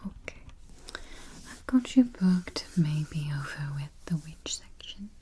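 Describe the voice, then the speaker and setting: A woman whispering softly and calmly in an ASMR roleplay as a vampire travel agent, close to the microphone. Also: low-pitched, slightly rough. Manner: soft whispering, unhurried and reassuring. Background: keyboard typing and taps.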